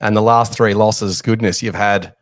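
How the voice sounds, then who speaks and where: A man's voice: lowish voice, fairly even intonation, normal speed, no room sound, studio quality. A man hosting a casual sports podcast, chatty and joking at his own expense. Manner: chatty, jokey, self-deprecating. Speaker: podcast host.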